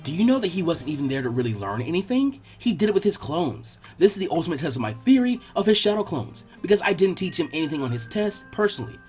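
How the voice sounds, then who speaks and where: A man's voice: on the high side, very animated, quick pace, hardly any pauses, a little mellow, faint music behind. A man voicing an earnest, bookish young girl tutor who explains things kindly and teasingly in an audiobook-style story. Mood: earnest explaining.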